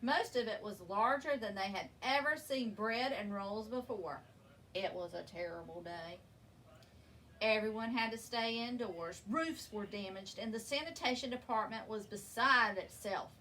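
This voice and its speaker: A woman reading a children's picture book aloud in an expressive, deliberate storytelling manner, leaning on key words. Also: mid-range voice. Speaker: story reader. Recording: distant microphone with background noise.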